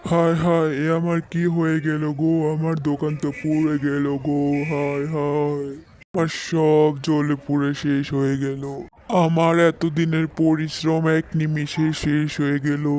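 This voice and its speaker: Man playing a higher-voiced, kindly weary father. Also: monotone, unhurried, a little mellow, natural voice. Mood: wailing despair. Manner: affectionate, plaintive. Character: kindly, weary middle-aged father of three daughters. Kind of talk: cartoon fairy-tale acting.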